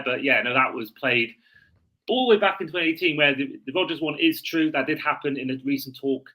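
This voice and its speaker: A man's voice: mid-range voice, pushed and bright, low-quality recording. A man hosting a sports podcast in casual chat, often correcting himself mid-sentence.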